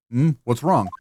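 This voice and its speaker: A man's voice: medium pitch, dark tone, slight background noise. Man playing an earnest, anxious young lawyer. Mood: surprised. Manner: hesitant, pleading, questioning. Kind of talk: fan voice-over of a visual novel game.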